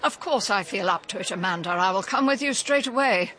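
A woman's voice: medium pitch, lively intonation, a little fast, sharp tone. A woman playing a genteel, guarded elderly country lady in a radio drama, speaking with emphatic propriety. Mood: composed, genteel. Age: older.

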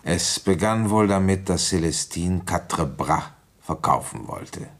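Very deep, gravelly, deliberate police inspector. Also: male voice, lively intonation, full character voice. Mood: accusing, ironic. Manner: deliberate, reflective, halting while thinking aloud. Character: weary, shrewd male police inspector, brooding and deliberate. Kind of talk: German radio play acting, first-person narration and dialogue.

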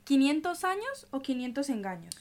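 A woman's voice: high voice, big pitch swings, normal speed, a little mellow. A woman co-presenting a scripted radio dialogue, asking questions in an inquisitive, sceptical way.

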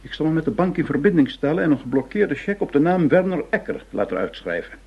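A man's voice: fairly high pitch, very animated, fast talker, gravelly, breathy edge, full character voice. A stiff government money man in a radio play, his raised voice sliding up and down with indignation. He is pompous and penny-pinching. The sound is dull and muffled. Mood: stern, grudging. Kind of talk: Dutch radio play acting.

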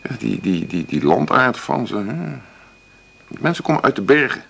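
A man playing a middle-aged café boss in a naturalistic radio drama, blunt, condescending and rambling, with a gravelly voice. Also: medium pitch, big pitch swings, moderate pace, fairly bright tone, exaggerated voice. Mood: dismissive, blunt. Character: blunt, prejudiced male café boss, condescending to job seekers. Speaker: employer interviewing an applicant.

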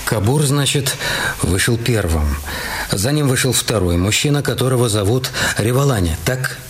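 An actor playing a police inspector in a radio detective play, asking questions in a measured, probing manner. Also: male voice, medium pitch, animated, a little hiss or hum, natural voice. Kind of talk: Russian radio play, part dialogue, part narration.

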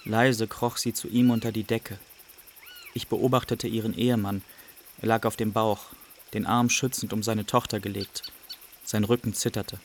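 A man's voice: fairly low pitch, little pitch movement, moderate pace, a little hiss or hum, lightly acted. An actor playing a young refugee who recounts his journey in plain, observant radio drama narration. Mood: sober recounting. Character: young male refugee recounting smugglers and border crossings.

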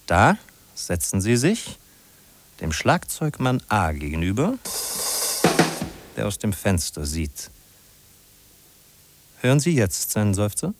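A pushy accusing narrator in an old 50s radio play, fairly low, urgent, talks right at you. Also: male voice, husky, no room sound, heavily acted. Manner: urgent, rhetorical, polite but probing. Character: insistent, accusing male narrator addressing the listener directly. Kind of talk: 1950s German radio play acting.

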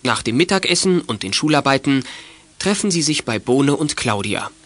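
A man's voice: mid-range voice, lively intonation, normal speed, a bit bright, no room sound. A man reads scripted, matter-of-fact narration for a children's detective audio drama. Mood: narrating. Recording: clearly noisy old audio play recording.

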